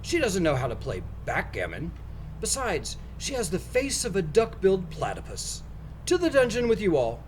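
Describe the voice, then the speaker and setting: A man playing a pompous, animated storybook prince. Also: high-pitched, normal speed, a little hiss or hum, voice pushed up. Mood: dismissive. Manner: pompous, contemptuous. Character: vain, cruel, angry young male prince.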